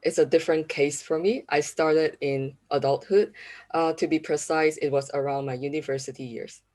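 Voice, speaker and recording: Low-voiced Malaysian woman presenting. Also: moderate pace. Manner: polite, steady, with hesitant fillers. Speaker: university lecturer presenting research. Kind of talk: conference presentation.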